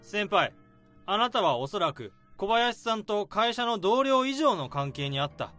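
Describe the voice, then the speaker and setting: A man playing a young office worker who calmly unravels a crime in a radio drama. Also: high voice, faint music behind, full character voice. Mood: accusing. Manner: calm, probing, deliberate.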